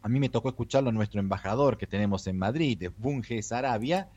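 This is a man from Argentina who now reports as a correspondent, and his Argentine Spanish has an Italian lilt. He talks in a measured, explanatory way and checks in with his listener after each point. His voice sits in an ordinary middle pitch. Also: a little mellow, slightly rough, no room sound, dull audio. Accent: Argentine Spanish with Italian touches. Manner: measured, explanatory, tags sentences with rhetorical checks. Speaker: correspondent in Europe. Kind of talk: phone-in radio commentary.

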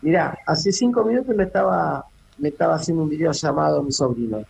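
Man, middle-aged, from Cape Verde, gravelly, hesitant with many restarts, phone guest. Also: a little high, fast talker, a little mellow, low-quality recording. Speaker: former footballer, phone guest. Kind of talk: casual radio interview. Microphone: phone call.

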